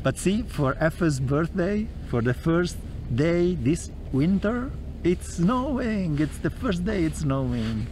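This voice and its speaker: A man's voice: on the high side, big pitch swings, slow, few pauses, darkish tone, slight background noise. A man in his thirties with an Italian accent, hosting a casual travel vlog in a playful, teasing way, speaking English with small slips outdoors in the snow. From Italy. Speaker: vlog host. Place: outdoors in snow at a car charging station.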